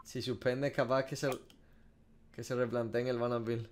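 A man's voice: medium pitch, flat delivery, normal speed, music in the background. A man, giving casual, profanity-laced reaction commentary as a gaming streamer. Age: 20s. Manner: slangy, profane. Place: small room at home.

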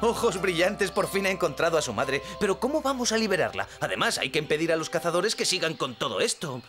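A man's voice: fairly high pitch, big pitch swings, quick pace, over loud music. An actor voicing an earnest, worrying male gnome sidekick in a dubbed cartoon. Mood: worried, curious.

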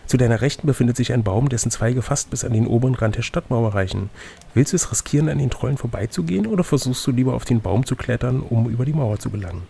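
A man's voice: medium pitch, quick pace, creaky, warm tone, slightly rough. A man reading a fantasy gamebook aloud as game master, with casual, teasing asides.

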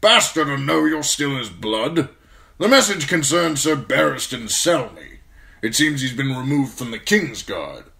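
A man voicing a gruff, irritable old lord commander in a fantasy audiobook, grumbling bluntly with grim, weary disgust. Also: medium pitch, very animated, measured pace, sharp tone, pitched above the performer's own voice, heavily acted.

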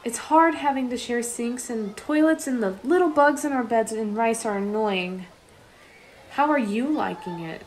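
A woman's voice: a little high, unhurried, a little hiss or hum, lightly acted. A woman playing an earnest, sentimental young refugee girl in a radio play. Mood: subdued.

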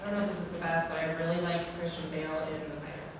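A woman's voice: low-pitched, little pitch movement, racing. A woman introducing herself to a meetup group, light and a little hesitant. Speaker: marketing specialist. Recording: echoey room, far mic, crowd noise.

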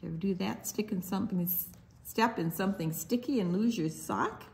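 An older woman reading a children's picture book aloud in an expressive, deliberate sing-song way, with small asides to her young listeners. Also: mid-range voice, gravelly, a little hiss or hum.

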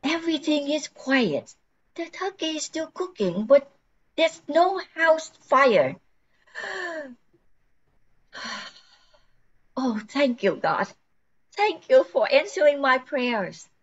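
A woman's voice: high-pitched, sing-song, takes it slowly, lo-fi sound, exaggerated voice. An older woman playing a frantic, forgetful housewife who pleads anxiously, in an amateur radio play. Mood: relieved.

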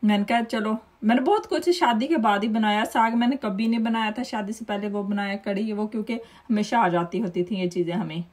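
A woman's voice: medium pitch, fairly quick, few pauses, a little mellow. A woman, chatting and telling stories over her home video. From Pakistan. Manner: chatty, storytelling, laughs often. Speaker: vlogger.

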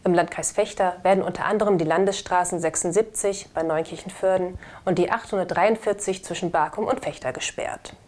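A woman's voice: fairly low pitch, fairly quick, few pauses, a little mellow. A woman in her thirties reading scripted news as a studio presenter. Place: indoor studio. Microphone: lapel.

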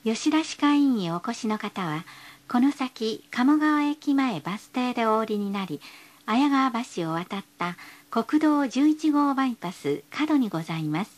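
A woman's voice: a little high, lively intonation, measured pace. A woman reading scripted bus stop announcements in a polite, formal, carefully enunciated way.

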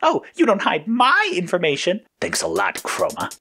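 A man's voice: high-pitched, wildly swooping pitch, normal speed, bright, forceful tone, a little hiss or hum, voice pushed up, full character voice. A man putting on an aggrieved, put-upon agent. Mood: sulky. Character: put-upon, easily offended agent Yellow. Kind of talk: acted audio story dialogue.

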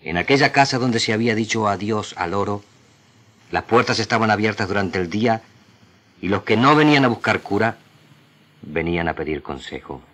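Very deep-voiced man reciting solemnly. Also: expressive, fairly bright tone. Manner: solemn, measured phrasing with pauses. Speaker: radio drama actor reading literary excerpts. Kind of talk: dramatic literary recitation.

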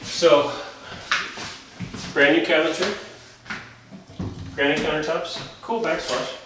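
A man's voice: a little high, takes it slowly. A man in his forties, a realtor walking through empty rooms and talking casually and a bit hesitantly as he shows the house. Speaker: realtor giving a house tour. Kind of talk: casual walk-through tour talk. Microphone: camera mic at a few metres. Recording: echoey bare rooms, distant mic, noisy.

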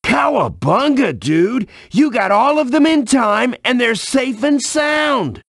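A man voicing a laid-back, raspy surfer-dude creature in a cartoon video game. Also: extremely high pitch, big pitch swings, takes it slowly, a bit bright, dry recording, clean studio sound, exaggerated voice. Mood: eager.

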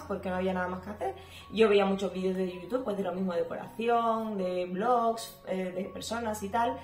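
Chatty woman in an echoey bedroom. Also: mid-range voice. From Cuba. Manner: chatty, talks a lot, frequent fillers. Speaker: home vlogger. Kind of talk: casual Q&A talk to camera. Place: bedroom at home, indoors. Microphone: camera at desk distance. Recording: echoey room with faint music.

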